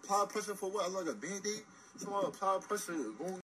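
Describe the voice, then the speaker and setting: A man's voice: sits high, expressive, fast, fairly bright tone, husky. A man doing an exasperated, complaining comic rant to camera. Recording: noisy social media clip.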